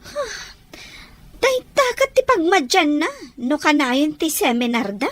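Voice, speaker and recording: Actress as worried suspicious young wife, high voice, very up and down, audio drama. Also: female voice, big pitch swings, a little slow, a bit bright, heavily acted. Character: suspicious, anxious young wife questioning her partner's absences.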